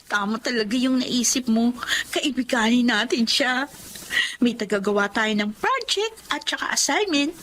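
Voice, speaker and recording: A woman's voice: a little high, lively intonation, moderate pace, fairly bright tone. A woman playing a sly, manipulative teenage schoolgirl who coaxes her way along in a Tagalog radio drama. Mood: gleeful scheming.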